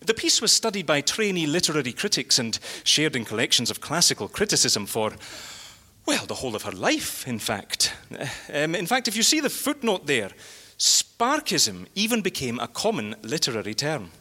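An eager library curator, a bookish man with a bright, keen-edged voice. He is fussy and hesitant but full of enthusiasm, his intonation leaping about. The live comedy stage has a long hall reverb. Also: fairly high pitch, wildly swooping pitch, moderate pace, heavily acted. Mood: admiring. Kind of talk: live audio comedy acting.

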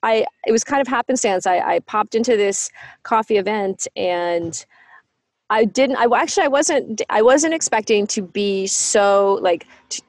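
A woman's voice: medium pitch, no room sound. Woman podcast host, keen and a bit stumbly, restarts a lot, dry close mic. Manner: enthusiastic, halting with restarts. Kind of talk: interview podcast.

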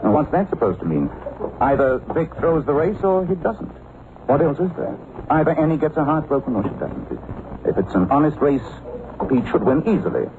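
An actor playing a suave, earnest gentleman adventurer in an old radio drama, measured and probing, on a noisy vintage recording. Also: a little high, big pitch swings, narrow, tinny audio. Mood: urgent, pleading.

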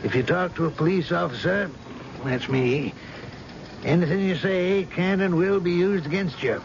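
A stern, suspicious police chief in a radio drama, pressing hard with authority. His voice sits fairly high for a male, heard through a dull broadcast recording with plain background noise. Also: normal speed. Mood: stern, procedural. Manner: insistent, interrogating, authoritative.

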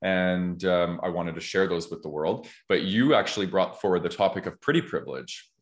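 A man in his thirties hosting a podcast, talking in a reflective, conversational way with an earnest, measured manner. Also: deep voice, expressive. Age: 30s. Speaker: podcast host.

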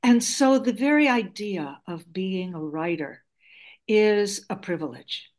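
A woman's voice: mid-range voice, lively intonation, takes it slowly, darkish tone. A woman in her seventies, Greek by first language, tells her story as an interview guest. She speaks in an articulate, reflective manner, with a mid-range voice. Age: 70s. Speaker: guest author.

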